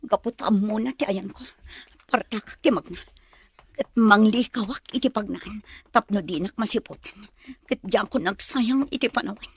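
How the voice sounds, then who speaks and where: An actress playing a bitter, unforgiving wronged mother in an Ilocano radio drama, with a husky, breathy voice. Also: medium pitch, moderate pace, lightly acted. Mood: resolute, hushed.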